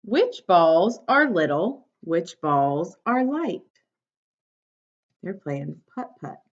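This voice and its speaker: A woman's voice: fairly low pitch, very animated, extremely slow. A woman teacher reading a picture book aloud to young children, instructing and prompting them with questions. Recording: dull, lo-fi sound.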